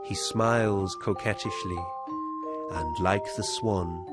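A man giving a scripted storytelling performance in a deliberate, measured manner. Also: sits low, fairly even intonation. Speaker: performer and narrator. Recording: loud music over the voice. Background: prominent music.

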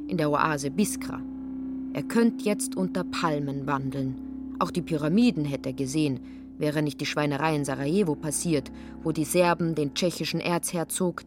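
A woman reading literary narration expressively, slipping into characters' lines. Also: sits low. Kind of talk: scripted literary narration for radio.

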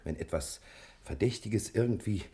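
A man playing an ingratiating, secretive private detective who hems and flatters confidentially, in 1960 German radio comedy style. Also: on the low side, moderate pace. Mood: flattering, instructing. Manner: confidential, flattering, hesitant hemming.

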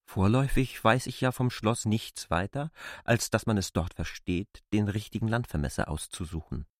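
A man's voice: on the low side, moderate pace, no room sound, clean studio sound. A man performing a scripted dramatised reading of classic literature. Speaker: reader in a literary radio drama.

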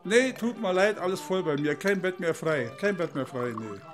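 A man's voice: high voice, animated, moderate pace, faint music behind. A man playing a chatty whalers' innkeeper in a German radio play, talking colloquially and teasingly. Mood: offhand, refusing.

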